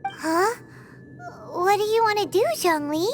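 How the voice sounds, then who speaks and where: A woman's voice: very high voice, measured pace. A woman voicing a tiny, childlike female floating companion who talks bluntly, in a game cutscene. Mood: puzzled. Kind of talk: video game voice acting. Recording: game cutscene with loud music.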